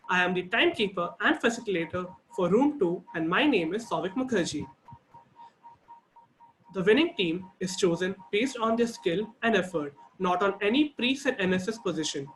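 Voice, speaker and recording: A man serving as timekeeper and facilitator, reading a formal welcome and the debate rules in a steady, procedural way over a video call. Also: high-pitched, slight background noise, low-quality recording.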